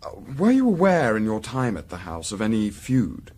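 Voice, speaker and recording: An actor playing a shrewd Victorian gentleman investigator in a period radio drama, polite, dry and probing. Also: on the low side, huge pitch swings, normal speed.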